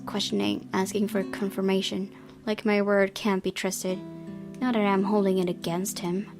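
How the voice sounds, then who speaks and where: A woman's voice: medium pitch, creaky. A young woman reading visual novel narration aloud as the host of a gaming video. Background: game music with guitar.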